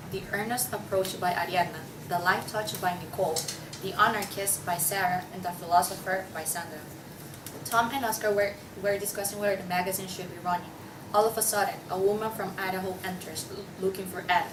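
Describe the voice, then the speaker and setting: Distant woman narrating a table read. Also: medium pitch. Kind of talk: scripted narration. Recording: distant echoey room with clear noise.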